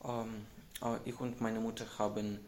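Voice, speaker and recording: A sixteen-year-old boy with a Ukrainian accent answers interview questions haltingly, searching for words. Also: on the low side, little pitch movement, a little mellow. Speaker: interviewee, refugee student.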